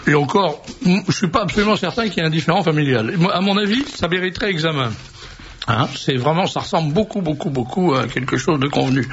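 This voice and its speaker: A man's voice: on the high side, animated, quick pace. A man hosting a talk radio show, chatting wryly and drifting off on asides. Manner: wry, digressive, many fillers. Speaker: radio host. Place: radio studio. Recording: dull lo-fi radio recording with faint hiss.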